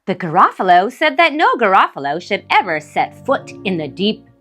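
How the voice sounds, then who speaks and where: A woman narrating a storybook for young children, expressive and deliberate. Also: medium pitch, faint music behind. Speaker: children's read-aloud host.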